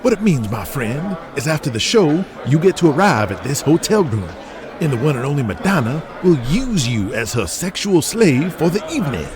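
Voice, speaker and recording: A man's voice: a little high, very animated, moderate pace. A man playing a flamboyant, brash pop star's manager in an audio drama, with showy salesman patter. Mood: brash, hyped. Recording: busy crowd noise behind.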